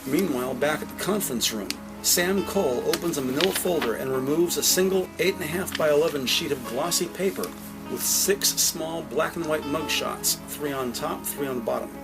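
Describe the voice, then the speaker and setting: A man's voice: on the high side, moderate pace, gravelly, loud music behind the voice, rough recording. A man narrating a scripted crime drama as a dramatic, detail-heavy storyteller.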